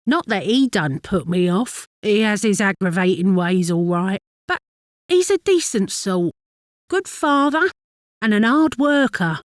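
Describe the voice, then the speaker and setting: An actress playing a chatty working-class London wife in a dramatic monologue, fond and mildly exasperated, confiding in a gossipy, colloquial way with a London accent. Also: female voice, mid-range voice, animated, a little slow, no echo, clean studio sound, natural voice. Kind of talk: dramatic monologue, conversational storytelling.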